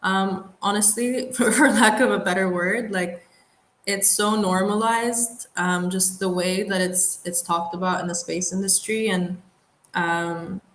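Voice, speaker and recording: A young woman from the Middle East, an aerospace engineer, telling her story in a thoughtful, unhurried way with light laughs. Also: medium pitch, steady tone, a bit bright. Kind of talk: reflective interview storytelling. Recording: roomy with faint background noise.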